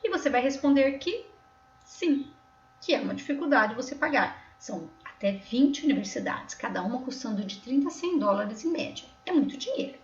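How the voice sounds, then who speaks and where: Woman, Brazilian São Paulo accent, high voice, patient tutorial explainer, roomy slightly muffled sound. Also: expressive, moderate pace, slight background noise. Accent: Brazilian Portuguese, São Paulo. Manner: patient and explanatory, often checking in with the viewer. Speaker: tutorial host. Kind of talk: step-by-step screen tutorial voice-over. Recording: roomy, slightly dull recording.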